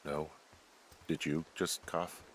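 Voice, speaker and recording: A man's voice: a bass voice, level tone, slow pace, slight background noise. An American man playing a wry, wary middle-aged fire lookout in a story video game, speaking in a casual, dry, hesitant way. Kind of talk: naturalistic game dialogue. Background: game music.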